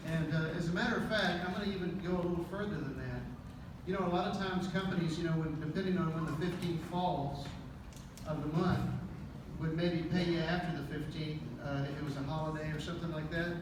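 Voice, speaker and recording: A man's voice: on the high side, steady tone, brisk. A man giving a rousing motivational speech at a business event. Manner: rousing, preacher-like delivery. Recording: echoey hall, distant mic, crowd noise.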